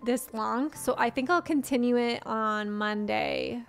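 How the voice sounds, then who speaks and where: Hoarse young woman streamer, rambly casual chat, slightly high voice, faint music underneath. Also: measured pace. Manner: chatty, rambling, talks to chat. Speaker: game streamer.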